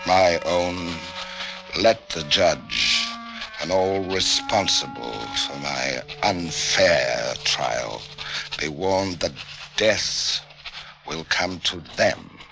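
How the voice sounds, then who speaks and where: An actor playing a smug, self-righteous murderous doctor in theatrical 1940s radio style. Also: male voice, sits low, gravelly, background noise, exaggerated voice. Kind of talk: 1940s radio acting, first-person narration. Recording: old radio recording with music behind.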